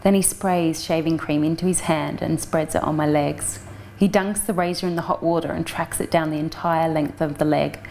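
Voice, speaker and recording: A woman's voice: low-pitched, fairly even intonation, moderate pace, gravelly, mellow, a little hiss or hum. Woman reading a frank first-person novel. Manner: frank, matter-of-fact first-person delivery. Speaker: audiobook reader.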